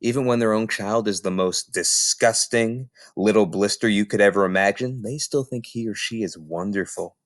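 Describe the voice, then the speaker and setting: A man reading a children's book aloud in an expressive, theatrical storytelling style with emphatic phrasing. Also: fairly low pitch, normal speed, hardly any pauses, no echo, clean studio sound.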